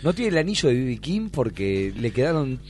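A man's voice: mid-range voice, very animated, a little fast, a little mellow, soft background music. An Argentine man chatting casually as a guest, effusive and emphatic, often repeating his words. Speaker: musician guest. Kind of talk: casual chat.

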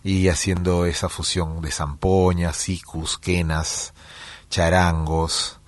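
A middle-aged man from Peru, a musician giving interview answers in a relaxed storytelling way, with many fillers and restarts. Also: deep voice, slow, no room sound. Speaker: musician guest.

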